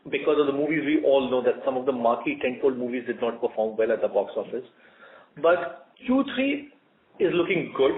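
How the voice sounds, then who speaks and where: A man with an Indian accent answering as a company executive on an earnings call, measured and emphatic, in short chunks. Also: mid-range voice, slight background noise. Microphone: conference call line. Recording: muffled narrowband conference call audio.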